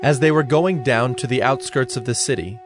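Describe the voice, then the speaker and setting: A man's voice: medium pitch, a little fast, over music. A man giving scripted narration as the narrator of a dramatized audio Bible.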